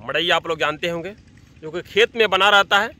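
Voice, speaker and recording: A man's voice: high-pitched, brisk, pushed and bright. A man, giving emphatic casual commentary to camera, repeating his phrases, outdoors into a phone at arm's length. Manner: emphatic, repeats phrases, addresses listeners as brother. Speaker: vlogger. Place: open-sided thatched hut by farm fields, outdoors.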